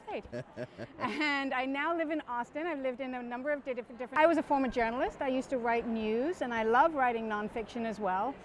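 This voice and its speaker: Woman, middle-aged, author guest, casual chat, quick bursts, higher voice, faint vehicle hum. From born in Guyana, raised abroad. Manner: chatty, quick bursts, self-correcting. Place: parked van.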